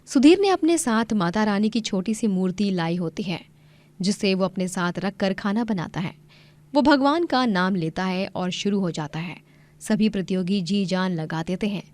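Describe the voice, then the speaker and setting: A woman's voice: medium pitch, lively intonation, normal speed, a little mellow. A woman narrator reading a scripted moral story.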